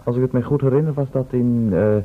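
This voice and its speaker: A muffled old radio play recording of a man acting a calm visitor from the future. He explains precisely in a husky voice. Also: mid-range voice, fast talker, lightly acted. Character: calm, precise male time traveller from the distant future.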